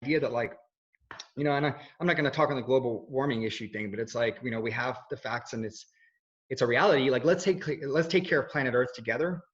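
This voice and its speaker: A man in his thirties, from Switzerland but with no accent, talks in an earnest, loose way, often restarting his sentences and leaning on fillers. His voice sits in an ordinary middle pitch. The audio sounds dull and lo-fi. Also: quick pace, fairly bright tone. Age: 30s. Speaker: interviewee, video journalist. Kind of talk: casual conversational interview.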